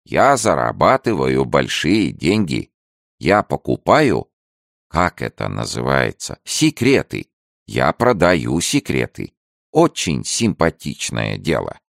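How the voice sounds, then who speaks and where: A sly, boastful stout merchant, put on by a man reading a novel aloud. He drawls his words slowly with smug, wheedling emphasis, in a very deep, gravelly voice. The sound is dry and close. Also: wildly swooping pitch, no room sound, full character voice. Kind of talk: audiobook character voice.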